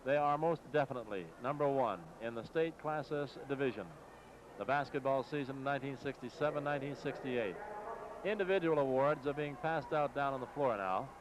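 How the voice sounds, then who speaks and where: A man's voice: mid-range voice, fairly quick, background noise. An American man calling live basketball play-by-play as a radio announcer in clipped, running phrases on a 1960s AM broadcast. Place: courtside in a large exposition hall.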